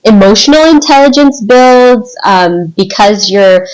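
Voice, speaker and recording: A woman in her twenties with an American accent, telling personal stories in an informal, animated, rambling way as a podcast guest. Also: mid-range voice, measured pace, poor audio. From United States. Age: 20s. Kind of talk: casual podcast chat, personal storytelling.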